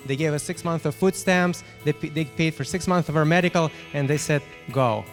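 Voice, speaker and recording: A man from Ukraine with a Ukrainian accent, sharing a personal testimonial to a group in a halting, emphatic way. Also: fairly high pitch, faint music behind. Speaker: business owner giving a testimonial.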